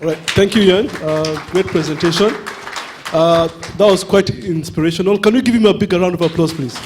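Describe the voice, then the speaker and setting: A man's voice: sits high, a little fast, noisy background. A man emceeing a conference, upbeat and hyping the audience between speakers, with some "um"s. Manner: upbeat, hyping the crowd, with fillers. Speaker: event emcee.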